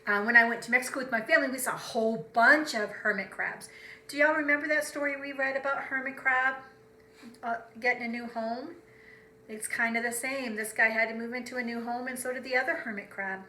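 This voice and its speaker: A woman preschool teacher telling a story to young children in an expressive way, with simple phrasing and direct questions. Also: a little high, brisk, rough recording.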